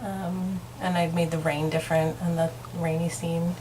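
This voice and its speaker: A woman's voice: fairly low pitch, flat delivery. Woman giving a studio tour, noisy background. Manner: unhurried, explanatory. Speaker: author and illustrator. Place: home art studio. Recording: noticeable background noise.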